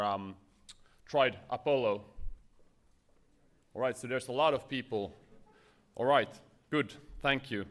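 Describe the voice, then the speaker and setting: A man's voice: mid-range voice, a bit bright, clear, resonant tone. Nervous man giving a tech talk. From Finland. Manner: nervous, many fillers and restarts. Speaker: conference speaker. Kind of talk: short technical conference talk. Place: conference stage.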